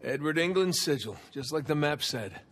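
A man's voice: on the high side, lively intonation, moderate pace. An American actor in his thirties playing a wry, casual, adventurous treasure hunter in a video game. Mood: wry, curious. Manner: wry, casual, muttering to himself. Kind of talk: naturalistic video game acting. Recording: game audio under loud ambient noise.

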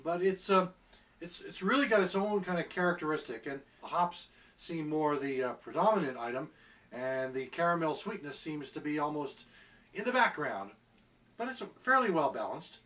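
A middle-aged man talks casually while reviewing a beer, hesitating often as he searches for words. His voice sits slightly high for a man. He is recorded in a small room at home by a camera a little way off. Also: expressive, moderate pace, fairly bright tone, distant mic. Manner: chatty, hesitant with many fillers.

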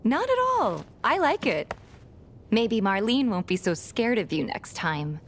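An actress with an American accent playing a warm, earnest young woman fighter in a video game. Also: mid-range voice, sing-song, unhurried. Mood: warm, gentle. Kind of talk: video game cutscene voice acting.

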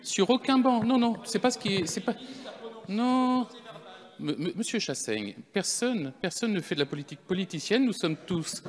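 A man's voice: high voice, animated, moderate pace. A middle-aged man replying formally in parliamentary debate as rapporteur, repeating words insistently. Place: large parliamentary chamber. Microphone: handheld microphone. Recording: long hall reverb.

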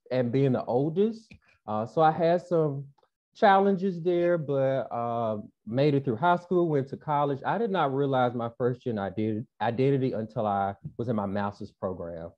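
A man's voice: medium pitch, muffled recording. A young man from Mississippi with a Southern accent, speaking earnestly as a panel guest on a video call, with many "uh" and "um" fillers. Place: small room indoors. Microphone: earbuds on a video call.

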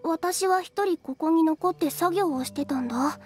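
A woman's voice: very high voice, moderate pace, a little mellow. A woman voicing a shy, earnest young female alchemist in an anime game, slightly flustered. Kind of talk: Japanese anime game voice acting. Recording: game music behind.

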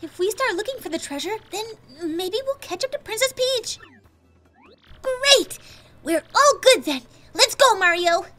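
A woman voicing a perky, excitable young female Goomba student in a video game, talking in sassy valley-girl slang. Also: extremely high pitch, a little slow, full character voice. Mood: excited. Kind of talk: video game dialogue voice acting. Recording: game music behind the voice.

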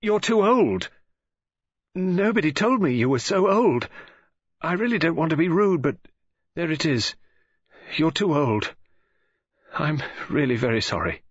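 Guy doing a nervous girl around twenty, high breathy voice, stammers and apologises, storybook reading. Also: lively intonation, unhurried, long pauses, fairly bright tone, no room sound, dull audio, heavily acted. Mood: apologetic blurting. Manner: hesitant, stammering. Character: nervous, apologetic young woman, about twenty, blurting awkwardly.

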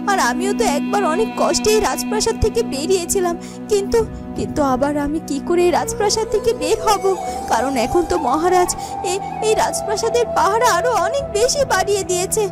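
A distressed young fairytale heroine, voiced by a woman, frets aloud in a very high cartoon voice. Her pitch moves animatedly as she repeats herself, with prominent music behind her. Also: expressive, fairly quick. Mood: anxious. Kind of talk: Bengali cartoon fairytale dialogue.

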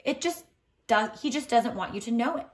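A woman's voice: a little high, expressive, normal speed, close to an everyday voice. Woman reading as a knowing storybook madame. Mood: explaining. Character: knowing madame explaining fraction tricks.

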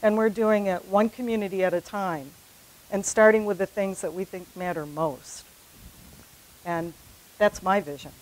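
Animated middle-aged woman giving a conference talk. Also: medium pitch, moderate pace, background noise. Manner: animated, gesturing widely, rising to a rallying finish; many fillers and restarts, conversational. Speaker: conference speaker. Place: conference room in front of a poster. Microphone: handheld mic.

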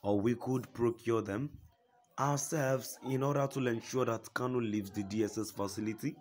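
A man reading a news report aloud in a steady, formal way, quoting a statement at length. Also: medium pitch, moderate pace. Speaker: news reader.

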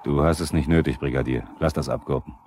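An actor playing a probing narrator who addresses the characters directly, in a 1970s East German radio drama. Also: male voice, a bass voice, fairly even intonation, fast, a little mellow. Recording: noisy 1970s vinyl radio play.

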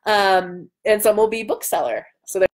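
A woman's voice: medium pitch, lively intonation, moderate pace. Chatty, enthusiastic woman livestreamer. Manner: chatty, enthusiastic, self-deprecating. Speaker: author hosting a livestream. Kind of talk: casual livestream chat answering viewer comments. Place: indoor room at home by a window.

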